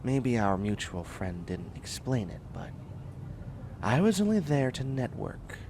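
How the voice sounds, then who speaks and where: A man's voice: lowish voice, gravelly, slight background noise. A man playing a tired, prickly, bookish biotech student in a sci-fi comedy audio roleplay, with a wry, fussy, rambling delivery. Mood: guarded, explaining. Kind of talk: scripted comedic audio roleplay, one side of a conversation.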